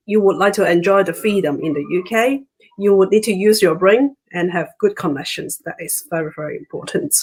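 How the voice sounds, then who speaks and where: A woman's voice: fairly low pitch, poor audio. A woman from Hong Kong with a Hong Kong accent, hosting a casual interview in a chatty way and switching between Cantonese and English. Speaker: host.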